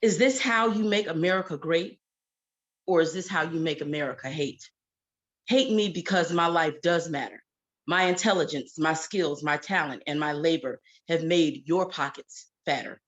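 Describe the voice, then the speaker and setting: A woman's voice: low voice, moderate pace, lo-fi sound. A woman reciting spoken-word protest poetry in an emphatic, rhythmic and indignant way. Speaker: poet reading own work.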